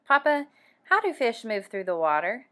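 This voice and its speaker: Woman as a curious girl, bright voice. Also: fairly high pitch, very animated, moderate pace. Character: curious, supportive young daughter narrating her father's inventions.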